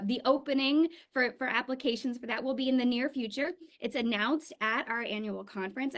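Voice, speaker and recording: A woman program director speaks on a webinar call with a slightly high voice. She is earnest and enthusiastic, and her talk is loose, with "um" and repeated words here and there. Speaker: adult education program director. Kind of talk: webinar presentation.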